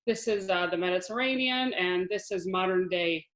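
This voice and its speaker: A woman's voice: fairly low pitch, normal speed, fairly bright tone. A woman in her thirties from Israel, a Hebrew teacher giving a chatty online lesson over a video call, explaining with frequent restarts and small laughs. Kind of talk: online lesson with shared slides. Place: room at home, video call. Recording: echoey room on a video call.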